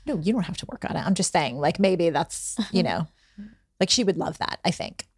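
Husky, lively-voiced woman guest. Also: lowish voice, brisk, no room sound, clean studio sound. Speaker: guest, friend of host. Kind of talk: casual chat between friends.

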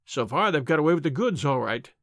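Man acting a cocky, brisk little police inspector. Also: on the high side, big pitch swings, fairly bright tone, no echo. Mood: cheerful, confident. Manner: brisk, informal, opinionated. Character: cheerful, cocky, ferret-faced little male police inspector.

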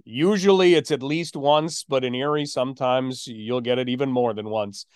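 A man hosting a hockey podcast as a play-by-play announcer, telling stories in a measured way with drawn-out emphasis. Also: mid-range voice, clean tone, no room sound, studio quality. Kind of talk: sports podcast conversation.

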